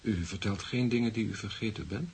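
An actor playing a calm psychiatrist in a radio play, coaxing and probing in a measured, clinical way. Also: male voice, lowish voice, breathy edge. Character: calm, probing male psychiatrist guiding a patient. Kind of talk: Dutch-language radio play acting.

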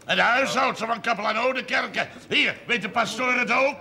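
A man's voice: very high voice, normal speed, vocal fry, bright, forceful tone, heavily acted. An actor playing an indignant village man in a Dutch period TV drama, speaking coarsely and confrontationally. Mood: angry, indignant. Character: indignant, combative village man defending his honour. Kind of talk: 1970s Dutch television period drama acting.

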